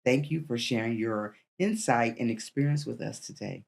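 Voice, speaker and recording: A woman's voice: very deep voice, moderate pace, creaky. A woman in her sixties, the host of a dating podcast, chatting with a guest and reading a closing outro. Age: 60s. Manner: warm affirmations, reads closing remarks. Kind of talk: interview chat, then scripted outro.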